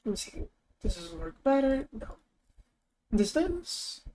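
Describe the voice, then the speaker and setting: A young woman streamer chatting casually as she works, thinking aloud, her voice lower than usual today, with bursts of high excitement. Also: slow pace, slight background noise. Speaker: streamer and artist. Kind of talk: casual live-stream chat while working.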